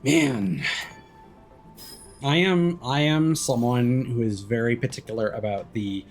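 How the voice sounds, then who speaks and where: A man's voice: mid-range voice, slow pace. A man streaming a video game with casual, musing and mildly critical live commentary. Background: video game music.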